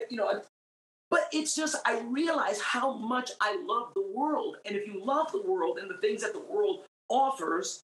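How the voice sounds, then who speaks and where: A middle-aged woman from New York with Puerto Rican roots, a singer giving her faith testimony as a guest, earnest and growing emphatic. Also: fairly high pitch. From Puerto Rican, raised in New York. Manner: earnest, grows emphatic and animated. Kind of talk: faith testimony in a podcast interview. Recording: roomy, echoey sound.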